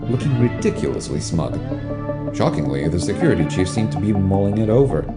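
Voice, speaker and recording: A man's voice: sits low, very animated, brisk. A man narrating an audio drama in a scripted storytelling style. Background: music.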